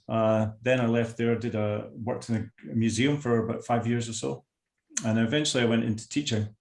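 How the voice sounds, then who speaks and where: Unhurried Scottish man in his sixties. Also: lowish voice, darkish tone. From Scotland. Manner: unhurried, self-deprecating, many restarts. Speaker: guest artist. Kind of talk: casual interview chat. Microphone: video call. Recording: echoey room over video call.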